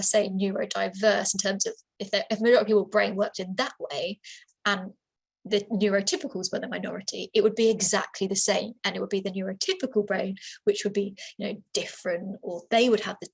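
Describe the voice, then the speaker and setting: A woman's voice: medium pitch, steady tone, quick pace, vocal fry, breathy edge, poor audio. A woman giving a webinar talk as a workplace assessor, informal and chatty, with lots of fillers and restarts, over a computer microphone. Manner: informal, repeats herself, many fillers and restarts.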